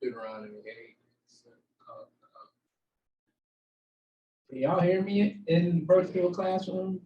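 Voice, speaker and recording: Man, low relaxed voice, bible teacher, echoey room, distant mic. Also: slow pace, highs cut off, a little hiss or hum. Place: room with a small group, also streamed online.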